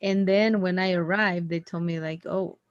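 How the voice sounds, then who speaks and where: Chatty woman, low voice, dry sound. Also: steady tone, normal speed, dry recording, dull audio. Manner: chatty, loose, full of fillers and restarts. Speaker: conversation tutor. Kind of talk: casual conversation practice.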